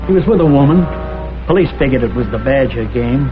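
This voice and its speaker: An actor playing a cagey, sardonic American informant in a vintage crime drama, slangy and unhelpful. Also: male voice, mid-range voice, expressive, brisk, lightly acted. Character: cagey, sardonic, tight-lipped male informant with money. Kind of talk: mid-century crime drama acting. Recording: tinny vintage soundtrack, music behind.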